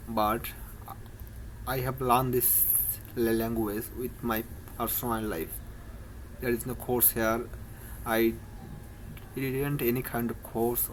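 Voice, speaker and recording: A man with a Bangladeshi accent coaches beginners in spoken English, speaking slowly and in a flat, even tone. His phrasing is earnest and halting, full of restarts and broken grammar. A faint background hum sits under his voice. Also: mid-range voice, rough recording. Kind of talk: informal English lesson to camera.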